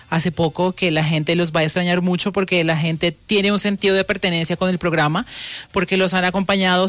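A woman's voice: lowish voice, no room sound. Effusive young woman guest. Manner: warm, effusive. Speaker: radio intern guest. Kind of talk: radio interview.

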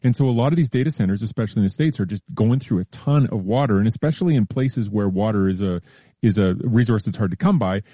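Mellow low-voiced man explaining. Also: quick pace, husky, no room sound, clean studio sound. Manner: relaxed, explains carefully with hedges and restarts. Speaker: science columnist. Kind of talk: casual explanatory chat.

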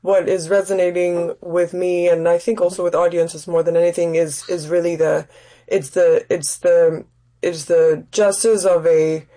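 A woman from Denmark, an actress and writer, answering interview questions in a thoughtful, measured way. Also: lowish voice, flat delivery, mellow. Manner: thoughtful, measured, searching for words.

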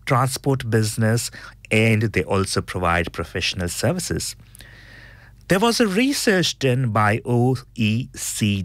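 Hoarse man with a lively, dry voice. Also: on the low side, no room sound. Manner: earnest, explanatory, reads out statistics with emphasis. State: hoarse, recovering from losing his voice. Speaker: radio host. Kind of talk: spoken talk show segment.

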